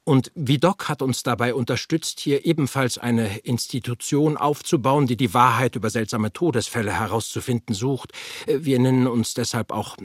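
An actor playing a courteous, earnest nineteenth-century scholar and investigator in an audio drama, speaking formally with old-fashioned gallantry. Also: male voice, medium pitch, moderate pace, few pauses, breathy edge, dry recording, studio quality, close to an everyday voice. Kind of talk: scripted interview in an audio drama.

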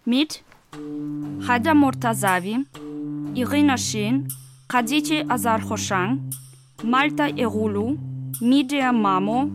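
A woman's voice: on the high side, slow pace, pauses often. A woman speaking in a radio play production. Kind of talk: retelling a story and reading credits in a radio play. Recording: clear music bed behind voice.

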